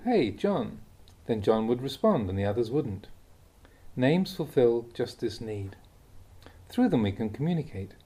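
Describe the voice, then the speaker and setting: A man, a monk, reading aloud a Buddhist teaching in a calm, deliberate way. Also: husky. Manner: calm, deliberate reading with lively pitch on key words. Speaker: monk reading Dhamma talks.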